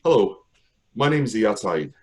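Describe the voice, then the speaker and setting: Middle-aged man, slightly low voice, reads his own essay with care. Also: big pitch swings. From Syria. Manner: measured, careful reading with occasional restarts. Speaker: author reading his essay. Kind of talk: reading a personal memoir aloud.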